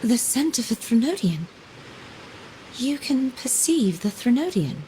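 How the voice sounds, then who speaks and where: An actress playing a composed, enigmatic young woman in a video game, speaking in a measured, formal and softly mysterious way. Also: on the high side, big pitch swings, slight background noise. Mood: poised, musing. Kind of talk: video game character dialogue.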